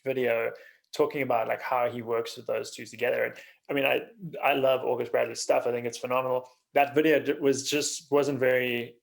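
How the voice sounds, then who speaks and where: A man hosting a conversational podcast interview, halting as he pieces his questions together. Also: medium pitch, flat delivery, gravelly. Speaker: podcast host interviewing a guest. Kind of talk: casual interview chat.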